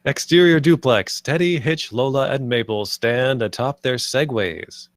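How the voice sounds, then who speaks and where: A man's voice: medium pitch, takes it slowly, dry recording. A man reading stage directions aloud as scripted screenplay narration.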